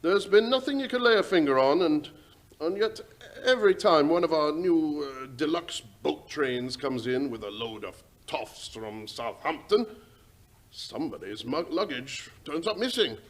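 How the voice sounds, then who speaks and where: A man's voice: fairly high pitch, animated, unhurried. A man playing a deferential, worried working-class English railway station master in an old-time radio drama, speaking hesitantly and respectfully in a folksy way.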